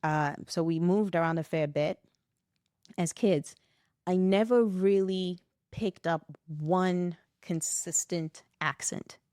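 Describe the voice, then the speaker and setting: Woman, middle-aged, from West Africa, guest, animated storyteller, acts out quotes, dry close sound. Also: fairly low pitch, takes it slowly, dry recording. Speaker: podcast guest. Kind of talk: reflective conversation.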